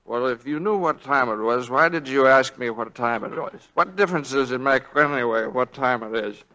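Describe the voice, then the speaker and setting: An American actor playing a meek, flustered young lawyer and husband who stammers hesitantly in a 1940s radio drama. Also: male voice, medium pitch, moderate pace, bright, forceful tone, close to an everyday voice, rough recording. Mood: defensive, irritable. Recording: vintage 1940s radio broadcast.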